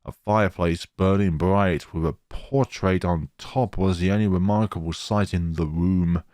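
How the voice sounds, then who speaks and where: A man's voice: deep voice, unhurried, darkish tone, dry recording. A man hosting a gaming let's play, reading story text aloud dramatically and dropping in casual asides. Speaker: gaming channel host.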